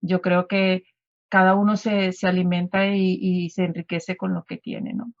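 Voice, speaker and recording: A woman's voice: fairly low pitch, little pitch movement, measured pace, no room sound, lo-fi sound. A woman in her fifties with a Venezuelan accent, a guest talking in a conversational radio interview with many fillers and restarts.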